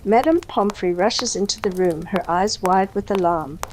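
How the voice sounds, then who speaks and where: A woman's voice: mid-range voice, normal speed, slight background noise, poor audio. A woman reading a scripted story as its narrator.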